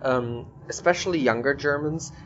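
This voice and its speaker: A man's voice: medium pitch, normal speed, a little hiss or hum. Man hosting casually, dull audio. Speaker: podcast host, interviewer. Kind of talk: casual conversational interview.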